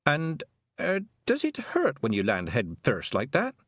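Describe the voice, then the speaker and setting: A man's voice: medium pitch, huge pitch swings, unhurried, fairly bright tone, breathy edge, no room sound, studio quality, pitched above the performer's own voice, heavily acted. A man doing a careful, raised-voice young girl. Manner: careful, hesitant.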